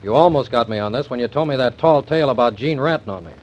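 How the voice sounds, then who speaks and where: An actor in 1940s radio acting, playing a confident young man who briskly lays out his case. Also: medium pitch, natural voice. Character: resourceful young man, accused suspect. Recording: dull old radio recording.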